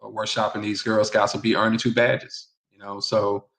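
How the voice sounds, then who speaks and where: A man from Mississippi, a guest author and filmmaker, giving steady, matter-of-fact updates in casual chat. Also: fairly low pitch, normal speed, gravelly.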